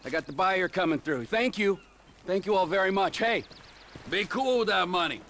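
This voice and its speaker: An actor playing an erratic, menacing drug dealer in a video game, sarcastic and halting, repeating himself for emphasis. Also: male voice, high-pitched, animated, pushed and bright, a little hiss or hum, exaggerated voice. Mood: mock gratitude. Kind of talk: video game cutscene acting.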